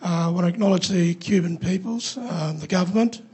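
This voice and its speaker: A man with a croaky voice, asking a question from the audience in a halting way with many ums. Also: high-pitched, mellow.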